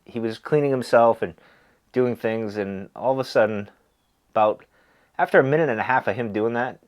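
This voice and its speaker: A man with a stuffy, nasal voice, chatting casually with lots of fillers and restarts. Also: mid-range voice. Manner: rambling, easygoing, many fillers and restarts. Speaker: pipe smoking video host. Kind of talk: casual chat.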